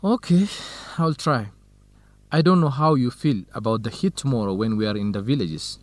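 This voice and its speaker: An actor with an Ethiopian accent playing an organized, courteous, gently grumbling male researcher in an educational radio drama, speaking in a measured, explanatory way. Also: mid-range voice, animated, no echo, lightly acted. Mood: helpful, practical.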